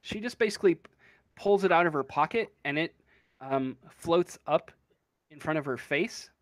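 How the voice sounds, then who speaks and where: Halting game master, dry close sound. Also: mid-range voice, lively intonation, no echo. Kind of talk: casual tabletop roleplay narration, halting.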